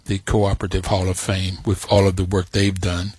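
A man's voice: sits low, monotone, moderate pace, darkish tone, slightly rough, dry recording. A man hosting a radio interview, asking curious, conversational questions. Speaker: radio host.

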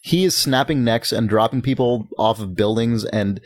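A man's voice: medium pitch, normal speed. A man casually chatting about video games as a podcast host, with a profane, dryly joking way of talking.

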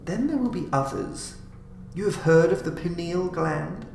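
A man's voice: a little high, expressive, measured pace, pitched above the performer's own voice. A man voicing an obsessed, gloating mad scientist in an audiobook, lecturing with intense emphasis. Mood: fervent lecturing. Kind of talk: audiobook character acting. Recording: roomy, clearly noisy home recording.

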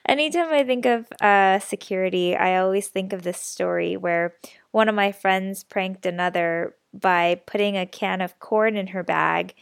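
A young adult woman hosting a personal storytelling podcast, a little raspy and stuffy from a cold, candid and chatty. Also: medium pitch, moderate pace, no echo, clean studio sound. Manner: candid, self-deprecating, chatty. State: slightly sick, stuffy nose. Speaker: podcast host.

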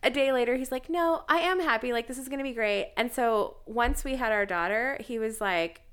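A woman's voice: fairly high pitch, brisk, fairly bright tone, clean tone. A woman in her late thirties, talking bluntly and casually on a podcast, swearing now and then and laughing easily. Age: 30s. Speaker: podcast co-host. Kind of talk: casual chat.

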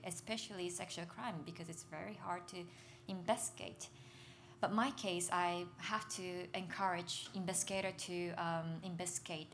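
Japanese woman, young adult, earnest stage testimony in English, halting word-searching delivery, light background hiss. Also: on the low side. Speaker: speaker at a literary festival.

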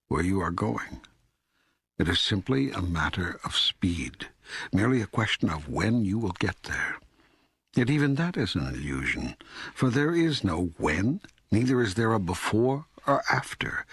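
An ageless, patient God in an audiobook dialogue. The voice is rather low and husky, recorded very dry. It teaches with measured, authoritative statements. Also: male voice, fairly bright tone, no echo, full character voice. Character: God, an ageless, patient, all-knowing male deity. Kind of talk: scripted audiobook dialogue reading.

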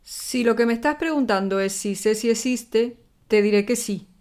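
A woman's voice: a little high, moderate pace, darkish tone, close to an everyday voice. A woman playing a frank, contented young goddess of love in scripted audio fiction. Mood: matter-of-fact.

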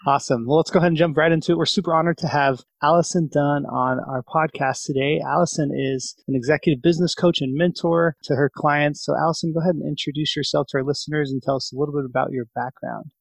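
Fast-talking upbeat male interviewer. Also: a little high, steady tone, vocal fry, no echo. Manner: upbeat, encouraging. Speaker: podcast interviewer. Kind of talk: interview conversation.

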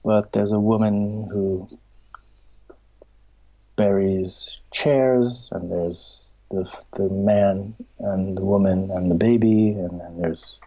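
Slow, halting, deep-voiced middle-aged man. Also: steady tone. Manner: halting, searching, many restarts, trails into a soft whisper. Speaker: filmmaker and writer. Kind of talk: reflective interview answers. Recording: dull lo-fi recording.

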